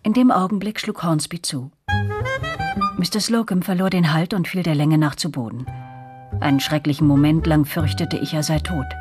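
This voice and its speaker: An actress playing a first-person storyteller, narrating tense events in a German radio drama. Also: female voice, low voice, moderate pace, natural voice. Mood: recounting. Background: music.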